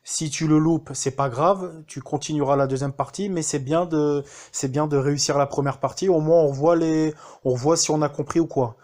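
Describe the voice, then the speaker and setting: Un homme français qui témoigne de manière spontanée, en hésitant souvent et en reprenant ses phrases. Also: male voice, on the high side, fairly even intonation, normal speed, hardly any pauses, a little mellow. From France. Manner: hesitant, many fillers and restarts. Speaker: student giving a testimonial. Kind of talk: casual spoken testimonial.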